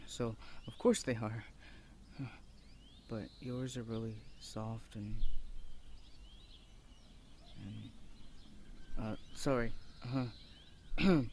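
A shy, bookish young university student, acted by a man in an audio roleplay. He is awkward and earnest, hesitating and stammering in a medium-pitched voice. Faint outdoor ambience with birdsong sits behind him. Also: a little hiss or hum. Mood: nervous, shy. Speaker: voice actor. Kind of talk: ASMR boyfriend audio roleplay.

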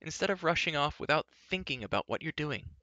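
A man's voice: a little high, lively intonation, brisk, bright, forceful tone, no echo, lo-fi sound, pitched above the performer's own voice. A man voicing an earnest, anxious young male hero. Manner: earnest, worried.

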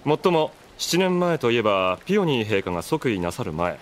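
Anime drama casting: smooth-talking middle-aged officer male actor, mock-playful and courteous, mid pitch, varied intonation. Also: sing-song, brisk, a little hiss or hum, close to an everyday voice. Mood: coolly inquiring. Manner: polite, glib, mock-playful. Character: urbane, sly, teasing middle-aged military man. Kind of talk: Japanese anime audio drama acting.

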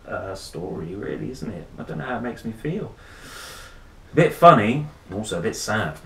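A man in his thirties reading a picture book with playful, chatty asides and dramatic pauses. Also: medium pitch. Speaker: storyteller and host. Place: small wooden room or shed. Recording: roomy echo, faint background noise.

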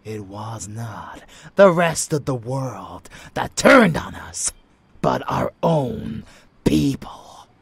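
A man voicing an unhinged, seductive, bloodthirsty vampire in a roleplay audio, theatrical and taunting, with a raspy, breathy voice that often drops into whispers. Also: mid-range voice, big pitch swings, full character voice. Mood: scornful, outraged. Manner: theatrical, slow, sneering, taunting. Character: unhinged rebellious male vampire, seductive, zealous, bloodthirsty lover. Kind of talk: roleplay ASMR dramatic monologue.

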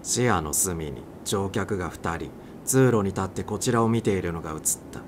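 A man reading a story aloud as a dramatic audiobook narrator. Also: low voice. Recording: clear background noise.

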